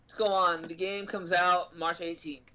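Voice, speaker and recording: Male podcast host, high bright voice, halting casual news delivery, some background noise. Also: flat delivery, muffled recording.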